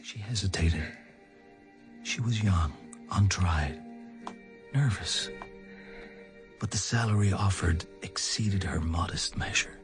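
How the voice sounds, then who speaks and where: Gothic storyteller: deep, unhurried, hushed and measured, dramatic narration over music. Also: male voice. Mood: ominous. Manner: hushed, deliberate, dramatic pauses. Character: grave, measured male storyteller of a gothic tale.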